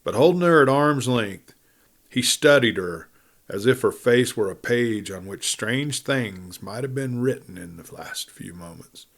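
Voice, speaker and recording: A man narrating a western novel as an audiobook, in a measured, deliberate storytelling style with dramatic pauses. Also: mid-range voice, lively intonation.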